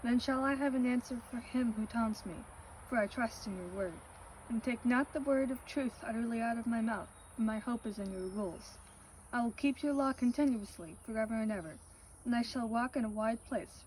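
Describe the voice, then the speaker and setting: A woman's voice: fairly high pitch, darkish tone, a little hiss or hum, rough recording. A woman reciting a passage of scripture aloud. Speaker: reader.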